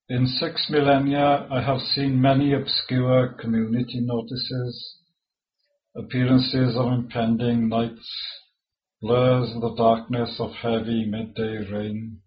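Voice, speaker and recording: Welsh man, native Welsh speaker, poet reading his own verse slowly and deliberately, dull lo-fi sound. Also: medium pitch. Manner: slow and measured, with halting asides between poems.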